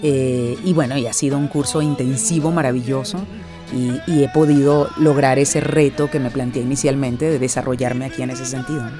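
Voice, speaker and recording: A woman's voice: extremely low pitch, steady tone, brisk, music in the background. A middle-aged woman from Venezuela who works as a voice actress, answering interview questions in a reflective way, often restarting and tagging her sentences with questions.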